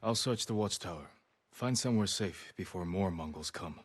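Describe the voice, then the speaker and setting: An actor playing a stern samurai hero who speaks in a quiet, measured way. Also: male voice, deep voice, animated, slight background noise. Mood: grave. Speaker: game character. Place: played from the game.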